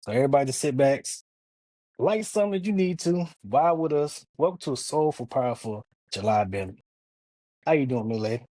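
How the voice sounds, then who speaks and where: A man's voice: mid-range voice, expressive, a little fast, mellow, dry recording. A man hosting a casual interview podcast, talking in a laid-back, slangy, hyped-up way. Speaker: podcast host.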